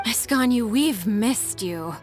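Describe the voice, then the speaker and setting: A woman's voice: a little high, animated, slow, soft background music. A woman voicing a weary, adoring female office worker in a video game, gushing and deferential. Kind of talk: animated video game voice acting.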